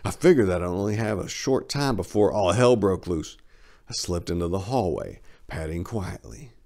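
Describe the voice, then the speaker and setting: A man's voice: low voice, vocal fry, a little mellow. A man narrating a short story as a dramatic reading, as its host.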